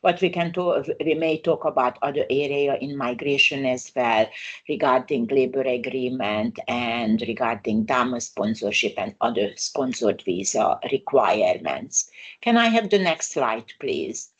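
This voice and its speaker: A woman's voice: extremely low pitch, animated, breathy edge, highs cut off. Older Hungarian-accented woman presenting. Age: 60s. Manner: unhurried, frequent "uh" fillers, non-native grammar. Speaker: migration lawyer presenting a webinar. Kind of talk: webinar presentation.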